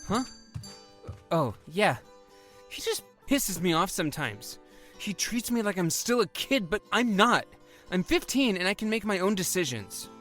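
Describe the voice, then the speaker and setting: A woman's voice: mid-range voice, big pitch swings, measured pace, fairly bright tone, faint music behind. A woman acting the part of an eager, defiant fifteen-year-old boy in an audio drama. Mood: sulky, relaxing.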